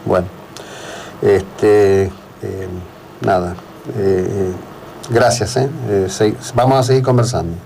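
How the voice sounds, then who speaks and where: A man with an Argentine accent hosting live radio, speaking in halting phrases and repeating words for emphasis. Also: on the low side, fairly even intonation, vocal fry, mellow. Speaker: radio host. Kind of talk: live radio interview and commentary. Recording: noticeable background noise.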